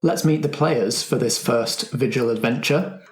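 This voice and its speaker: A man with a slightly husky voice, introducing and hosting a tabletop roleplaying production as game master. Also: mid-range voice, a little slow.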